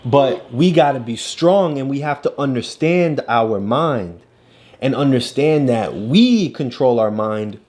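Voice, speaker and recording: A woman's voice: extremely low pitch, animated, takes it slowly, darkish tone. A woman talking a child through a picture book, encouraging and lecturing, often checking in with "right?". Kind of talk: casual coaching talk about a story.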